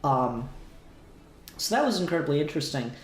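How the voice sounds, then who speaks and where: Higher-voiced man in a roomy space. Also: big pitch swings, a little fast. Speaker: review show host. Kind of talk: casual review chat. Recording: roomy sound with faint background noise.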